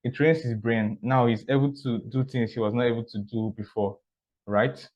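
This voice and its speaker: A man sharing personal stories in a measured, casual way, often repeating words and asking "right?". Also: medium pitch, fairly even intonation. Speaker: art teacher and architecture student. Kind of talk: casual talk. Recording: dull, muffled recording.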